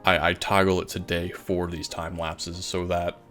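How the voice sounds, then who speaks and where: A man with a low voice hosts a gaming video in a casual, rambling style. He talks informally and with enthusiasm, and faint music plays behind him. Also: little pitch movement, moderate pace, a bit bright. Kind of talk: casual Minecraft time-lapse commentary. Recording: voice over background music.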